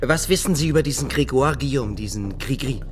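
An actor playing a gruff, sardonic and threatening provincial police lieutenant in a radio crime drama, with curt questioning. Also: male voice, medium pitch, lively intonation, brisk, noisy background, close to an everyday voice. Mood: pressing, menacing.

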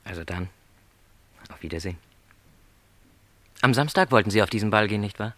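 A man's voice: lowish voice, fairly quick, a bit bright, no room sound, lightly acted. An actor playing a lonely middle-aged travelling salesman in a literary radio play, speaking with courteous, reflective resignation. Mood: tentative.

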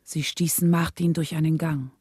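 An actress playing a composed young wife and mother, narrating a radio drama in a measured, sober way. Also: low-pitched, steady tone, a little mellow, slightly rough, dry recording, studio quality.

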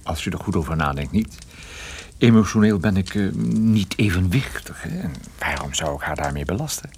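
A man playing a troubled, wry and guarded private detective in a radio play, with a husky voice and a dry, hesitant manner. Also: low-pitched, animated, normal speed, a little mellow, slight background noise. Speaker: lead character and narrator. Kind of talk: radio play narration and dialogue.

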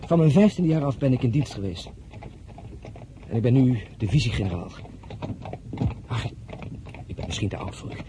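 A man's voice: mid-range voice, very animated, moderate pace, pauses often, background noise, close to an everyday voice. A man playing an earnest, blunt French general of thirty-one in a Dutch drama, courting in a direct, insistent way. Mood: earnest proposing. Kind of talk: Dutch radio drama acting.

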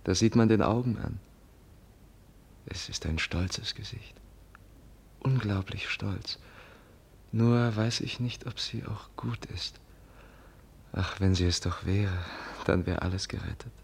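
An actor in a 1950s German radio play, playing a gentle, naive young prince who speaks politely, earnestly and hesitantly. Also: male voice, on the low side, takes it slowly, creaky, pauses often, slight background noise. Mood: entranced, hushed.

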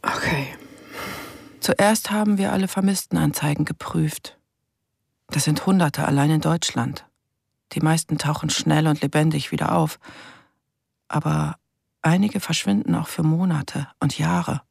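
An actress playing a sober, terse police detective who narrates reflectively in clipped phrases in a radio crime drama. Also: female voice, sits low, fairly even intonation, a little slow, gravelly, long pauses, a little mellow, husky, no echo, clean studio sound, heavily acted.

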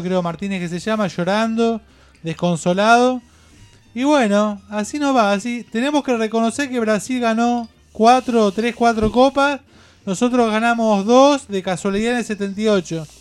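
A man's voice: high voice, moderate pace, clear, resonant tone. A man with a Rioplatense Argentine accent hosting a radio show in a casual, chatty style. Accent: Rioplatense Argentine Spanish.

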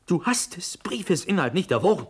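An actor playing a proud, honour-bound young prince in a classical verse radio drama, with solemn, declamatory delivery that moves between hushed and forceful. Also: male voice, sits high, sing-song, normal speed, breathy edge, heavily acted. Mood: proud, impassioned.

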